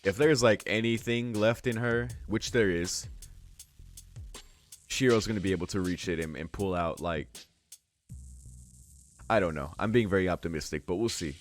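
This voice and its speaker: A man hosting a visual novel read-along, switching between narrating the text aloud and casual, halting reaction commentary. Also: deep voice, faint music behind. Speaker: let's-play host reading a visual novel aloud and reacting.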